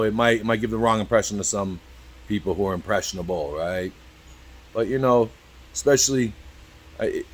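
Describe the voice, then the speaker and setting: A man in his early fifties talking candidly to camera as a vlogger, halting and repeating "you know" constantly, swearing freely. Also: medium pitch. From Cambridge. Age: 50s. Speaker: YouTube vlogger. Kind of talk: rambling candid talk to camera.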